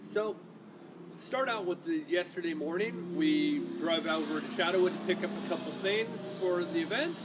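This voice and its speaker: A man's voice: high voice, normal speed. A man hosting a casual podcast, a self-styled entertainer who addresses his listeners directly. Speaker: podcast host. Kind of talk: casual chat. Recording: noisy home recording.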